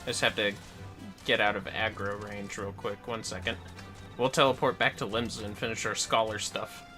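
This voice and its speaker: Male game streamer, bright forceful voice, casual chat with theatrical flair and cursing, background music. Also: lowish voice, fairly even intonation, normal speed. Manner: sweary, playfully theatrical. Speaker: livestreaming gamer. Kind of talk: casual livestream gaming chat.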